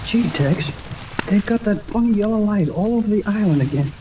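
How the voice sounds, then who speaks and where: An eager, good-natured teenage boy played in a woman's register in a vintage radio adventure. The delivery is breezy, slangy and exclamatory, with clear hiss over the recording. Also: medium pitch, animated, fairly quick, natural voice. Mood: curious, boyish. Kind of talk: 1930s radio serial acting. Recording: noisy, hissing 1930s radio recording.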